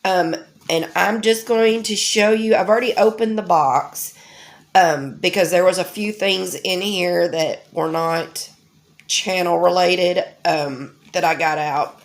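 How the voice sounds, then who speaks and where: Woman host, middle-aged, nasal with a cold, unhurried homey chatter with plenty of ums. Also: lowish voice. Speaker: crafting channel host. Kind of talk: casual unboxing vlog.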